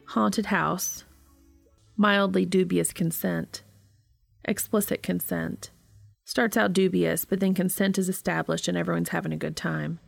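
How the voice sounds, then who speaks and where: A woman narrates a story in scripted podcast style. Her voice sits on the low side with noticeable creak at the edges. The sound is close and dry. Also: steady tone, unhurried, no room sound, soft background music. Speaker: podcast host and reader. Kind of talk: scripted narration of fan fiction.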